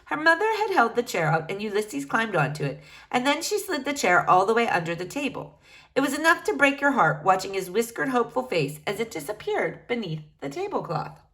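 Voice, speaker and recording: A woman's voice: a little high, very animated, fairly quick, a bit bright. A woman reading a children's novel aloud, shifting her voice for each character as she goes. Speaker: reader.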